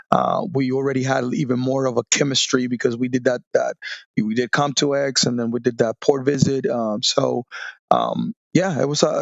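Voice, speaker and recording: A Spanish-native man in his forties speaks English with a Dominican accent, his voice gravelly with fry. He pauses and restarts as he recalls things out loud. The recording is dull and lo-fi, with a dry, close sound. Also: medium pitch, dry recording. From Dominican Republic. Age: 40s. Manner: halting, with many restarts and fillers. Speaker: guest, Navy veteran. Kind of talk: reflective podcast interview.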